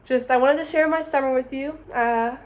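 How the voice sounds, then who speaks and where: A woman's voice: high-pitched, steady tone, normal speed. Chatty young woman vlogging. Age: 20s. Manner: chatty, upbeat, says "super" often. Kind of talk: casual vlog voice-over.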